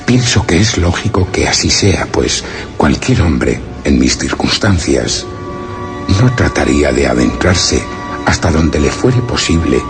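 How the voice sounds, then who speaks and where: An actor from playing an anguished, secretive explorer in a suspense radio drama, narrating in grave, formal, literary language. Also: male voice, very deep voice, expressive, measured pace, vocal fry, over music, exaggerated voice. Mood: anguished, solemn. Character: anguished male explorer hiding among a foreign people, solemn and secretive.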